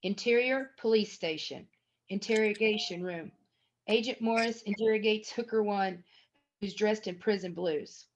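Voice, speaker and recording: A woman's voice: mid-range voice, normal speed. A woman narrating a screenplay at a table read, reading scene headings and stage action as scripted narration. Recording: dull, lo-fi sound.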